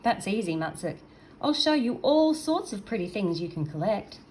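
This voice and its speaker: A woman's voice: medium pitch, very animated, normal speed, darkish tone, a little hiss or hum, lightly acted, low-quality recording. A woman voicing a small, earnest male seal from a storybook, timid but brave, with a pleading manner. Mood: eager, encouraging.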